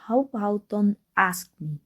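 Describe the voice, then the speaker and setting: A woman's voice: medium pitch, lively intonation, a little slow. A woman playing a sarcastic, prickly female supervillain who taunts a rival in a theatrical ASMR roleplay drama. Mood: irritated, guarded.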